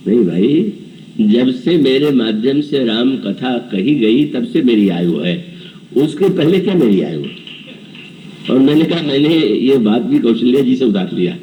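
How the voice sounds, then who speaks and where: A man's voice: mid-range voice, lively intonation, unhurried, warm tone, distant mic, slight background noise, poor audio. A man in his seventies giving a devotional scripture discourse, telling the story and acting out characters' dialogue. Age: 70s. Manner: storytelling, voices characters' dialogue and chants verses. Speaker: preacher.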